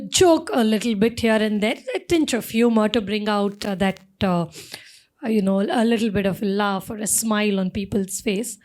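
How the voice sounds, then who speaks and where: A woman, an event emcee on a panel, speaking emphatically in a low, strong voice with many fillers. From India. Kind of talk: panel discussion answer.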